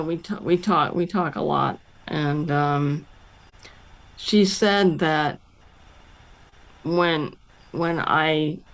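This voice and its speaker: A woman sharing personal experiences on a panel, speaking haltingly with many hesitant restarts. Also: low-pitched, poor audio.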